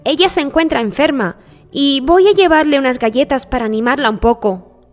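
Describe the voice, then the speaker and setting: A woman's voice: high voice, a little fast, voice pushed up, natural voice. A woman voicing a sweet, innocent little girl in a children's story, with a childlike singsong manner. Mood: innocent, friendly. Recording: long reverb with music behind.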